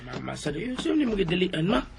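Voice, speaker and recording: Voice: medium pitch, big pitch swings, fairly quick, gravelly. A performer playing a plaintive young child talking to a parent in a radio drama. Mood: questioning. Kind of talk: Philippine radio drama acting.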